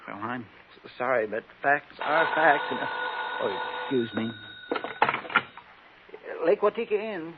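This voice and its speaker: An actor playing a polite, fussy inn clerk in a 1950s radio drama, hesitant and deferential but precise. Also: male voice, mid-range voice, very animated, measured pace, pauses often. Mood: helpful, apologetic. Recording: muffled 1950s radio recording, faint noise.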